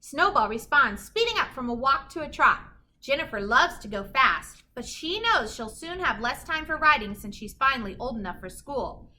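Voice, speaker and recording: A woman reading a children's picture book aloud in an animated, emphatic storytelling manner. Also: high voice, normal speed. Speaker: children's story reader, teacher.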